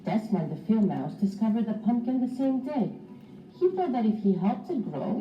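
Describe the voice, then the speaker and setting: A woman teacher reading a children's storybook aloud in an expressive, instructive way for young pupils. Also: mid-range voice. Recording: roomy, distant mic, slightly dull.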